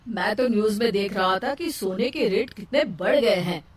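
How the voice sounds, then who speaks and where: A woman's voice: mid-range voice, normal speed, lightly acted. A woman voicing a puzzled, mildly impatient young husband in a cartoon story. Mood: placating. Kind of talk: cartoon moral story acting. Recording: roomy, distant mic, faint noise.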